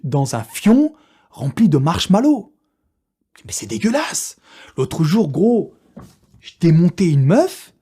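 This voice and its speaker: A young man with a husky voice that swings widely in pitch. He talks like a video creator riffing to his followers, slangy, crude and joking. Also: a little mellow. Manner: joking, crude, prone to breaking into laughter. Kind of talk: casual, slangy live chat to followers.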